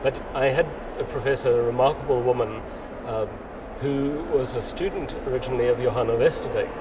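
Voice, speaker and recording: Man in his 60s, unhurried interviewee, heard from a distance over loud traffic noise. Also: mid-range voice. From South Africa. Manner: rambling, restarts often, unhurried. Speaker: interviewee, mycologist. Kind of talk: casual interview chat.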